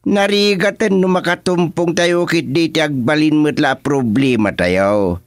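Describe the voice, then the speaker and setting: A man's voice: on the high side, unhurried, no echo, clean studio sound. An actor playing a plain-spoken, easily exasperated village chairman in a rural comedy radio drama. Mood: amused, deliberate.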